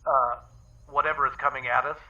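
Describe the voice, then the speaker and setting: A man playing a brisk, impatient space traveller in a sci-fi comedy audio drama. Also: medium pitch, steady tone, gravelly, bright, forceful tone, lo-fi sound. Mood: urgent.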